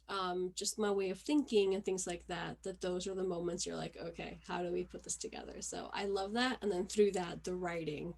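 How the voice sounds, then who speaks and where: A woman from Venezuela hosting an interview, chatting in a lively, friendly way. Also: mid-range voice. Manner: chatty, animated, laughs. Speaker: podcast host. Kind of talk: casual conversation.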